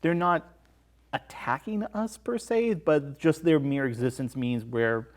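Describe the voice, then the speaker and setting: A man's voice: a little high, unhurried. Man explaining films in casual conversation. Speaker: podcast guest. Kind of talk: conversational film analysis.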